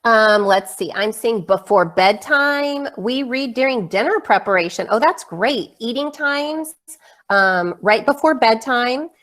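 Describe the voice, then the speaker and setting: A woman's voice: fairly high pitch, expressive, measured pace, fairly bright tone. A woman co-hosting a casual interactive webinar, relaying responses in an upbeat, appreciative manner. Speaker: co-host reading out chat responses.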